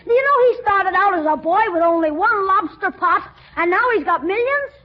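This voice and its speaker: A man's voice: extremely high pitch, lively intonation, moderate pace. A man playing a cheeky, scheming young nephew in a brash, wheedling way, in 1940s radio comedy style. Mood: innocently sly. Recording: dull vintage radio recording.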